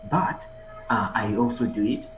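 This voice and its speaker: A man from Uganda, giving a motivational conference talk over a video call, energetic and urging the audience on. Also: lowish voice, measured pace, muffled recording. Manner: energetic, exhorts the audience with repeated calls. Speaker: guest speaker, online marketer and trader. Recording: echoey room with music behind.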